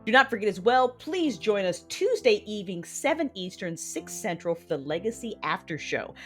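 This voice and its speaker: A woman's voice: medium pitch, big pitch swings, normal speed, a bit bright. A woman hosting an audio drama podcast, reading announcements and credits in a chatty, inviting way. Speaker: host and producer of an audio drama. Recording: music behind the voice.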